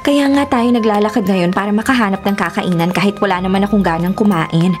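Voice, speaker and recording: An actress playing a sassy, easily spooked young woman travel vlogger with a Manila Filipino accent in an expressive radio drama style. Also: mid-range voice, a little fast, lightly acted. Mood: sassy, chatty. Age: young adult. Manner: expressive, sarcastic, mixes in English slang. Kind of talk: Tagalog radio drama acting with inner narration. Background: music.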